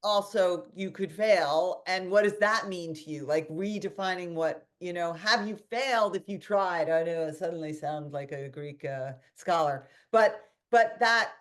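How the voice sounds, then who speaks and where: A woman's voice: on the low side, measured pace, few pauses. A woman hosting a webinar conversation, telling a story animatedly with restarts and quoted asides, over a video call. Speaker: host and company founder.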